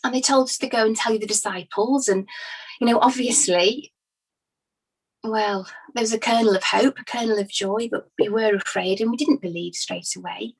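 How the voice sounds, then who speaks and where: A woman's voice: fairly high pitch, moderate pace, gravelly, breathy edge, poor audio. A woman playing a devoted, grieving female follower giving hesitant, conversational testimony in a dramatized inquest. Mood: shaken, hopeful.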